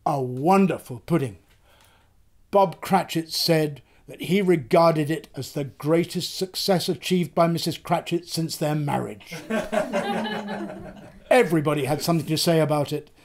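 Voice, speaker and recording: An older man in his sixties or above giving an expressive, theatrical reading of a literary story. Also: fairly high pitch. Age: 60s or older. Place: small book-lined room, indoors.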